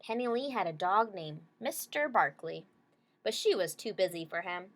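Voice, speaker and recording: A woman's voice: medium pitch, expressive, moderate pace, a bit bright. A woman reading a children's story aloud, stopping to explain things simply and check in with short questions. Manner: checks in with listeners using short tag questions.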